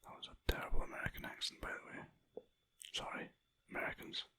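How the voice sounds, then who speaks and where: An ASMR creator speaking in a soft, unvoiced whisper close to a sensitive mic, rambling and poking fun at themself while reading lines aloud. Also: quick pace.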